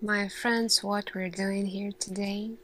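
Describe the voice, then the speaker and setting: A woman playing an earnest lead scientist in an ASMR roleplay, speaking deliberately in short phrases with dramatic pauses. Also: mid-range voice, level tone, fairly bright tone, slight background noise. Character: earnest female lead scientist addressing her team. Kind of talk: slow ASMR roleplay monologue.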